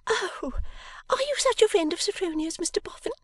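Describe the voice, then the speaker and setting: Shrill teary silly young lady, all gush and sobs. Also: animated, moderate pace, fairly bright tone, no room sound. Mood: tearful gushing. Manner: breathless, tearful, gushing. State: sobbing. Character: silly tearful young lady, gushing and frantic.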